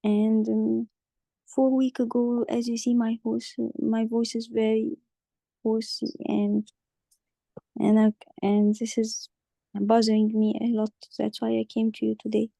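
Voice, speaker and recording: A woman playing a hoarse forty-nine-year-old patient with a croaky voice, speaking haltingly with many pauses and restarts. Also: fairly high pitch, completely flat delivery, mellow, no room sound, rough recording. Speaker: simulated patient in exam practice.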